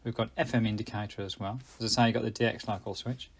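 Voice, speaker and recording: A man's voice: fairly low pitch, steady tone, quick pace, vocal fry, slightly rough. A man presenting a casual hands-on gadget review in a rambling way, often correcting himself and getting slightly tongue-tied.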